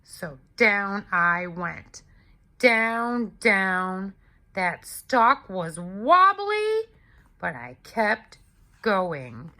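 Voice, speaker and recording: A woman's voice: medium pitch, very animated, sharp tone, exaggerated voice. A woman voicing a big, hungry male giant who tells his side of a storybook tale in an aggrieved, exclamatory way. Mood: rueful, dramatic. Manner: exclamatory, indignant, deliberate storyteller. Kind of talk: expressive children's storybook read-aloud.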